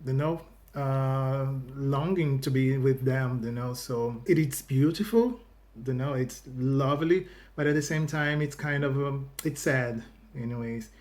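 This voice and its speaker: A man's voice: medium pitch, a little slow, a little mellow. A Brazilian man whose first language is Portuguese, hosting a casual reaction video in English with a Brazilian accent. He often restarts phrases and fills gaps with "you know.". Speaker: YouTube reaction host. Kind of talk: casual video chat to viewers.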